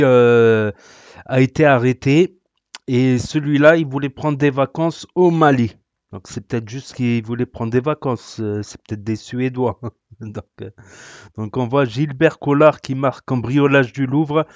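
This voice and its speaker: A man's voice: medium pitch, a little mellow, no room sound, muffled recording. A man hosting a reaction video, sarcastic and rambling, with many hesitations. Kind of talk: casual reaction commentary.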